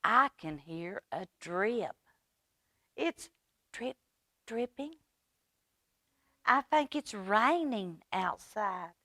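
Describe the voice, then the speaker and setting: A woman's voice: medium pitch, expressive, sharp tone, no room sound, clean studio sound, heavily acted. A woman voicing a small, anxious young male mouse in a storybook, pleading and calling out plaintively. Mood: anxious, hushed. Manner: plaintive calling, drawn-out cries.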